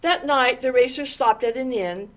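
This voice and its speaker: A woman reading a children's story aloud in an expressive, deliberate way, with dramatic pauses and stressed words. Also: high-pitched. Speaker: storytime reader.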